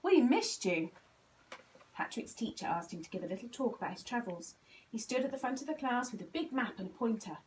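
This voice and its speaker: A woman reading a children's storybook aloud in an expressive, animated way, acting out the dialogue. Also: fairly high pitch, fast talker, distant mic, a little hiss or hum, low-quality recording. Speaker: volunteer story reader.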